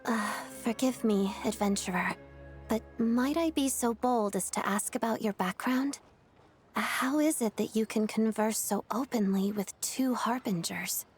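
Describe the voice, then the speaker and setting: A woman's voice: a little high, unhurried, soft background music, lightly acted. A woman voicing a polite, principled young woman in an anime game, formal, courteous and reserved. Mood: courteous, curious.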